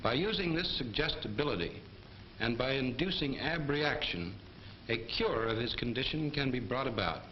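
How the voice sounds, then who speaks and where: An actor playing a composed, authoritative army doctor, lecturing in a measured, formal 1940s training film manner. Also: male voice, medium pitch, expressive, natural voice. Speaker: military medical instructor. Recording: muffled, echoey, noisy 1940s film soundtrack.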